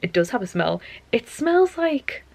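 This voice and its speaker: Young woman, tired, losing her voice, gravelly fry, dry close sound, chatty rambling. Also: fairly high pitch, big pitch swings, measured pace, darkish tone, no echo. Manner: rambling, chatty, self-interrupting. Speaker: vlogger. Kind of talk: casual chatty monologue to camera.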